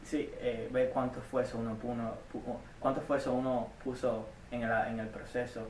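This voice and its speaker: An earnest young man from Cuba speaks, answering interview questions in a halting way, often restarting and repeating words. His voice stays flat and even in pitch. The mic sits well away from him in an echoey room with a faint hum of background noise. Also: recorded from a distance. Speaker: community college student.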